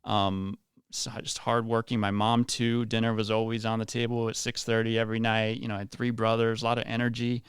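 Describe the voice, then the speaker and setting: A man's voice: lowish voice, completely flat delivery, a little fast, clear, resonant tone, no echo. A man around forty from West Virginia, a community leader speaking earnestly and effusively as a guest in a radio interview. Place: radio studio.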